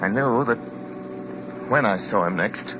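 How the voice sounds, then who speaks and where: A man acting a low-voiced, haunted motorist. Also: heavy background noise, close to an everyday voice. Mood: brooding dread. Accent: American. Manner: intense, dramatic, halting when addressing others. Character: haunted, anxious male motorist telling his story. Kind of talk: old-time radio drama narration and acting. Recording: muffled vintage radio recording, loud music.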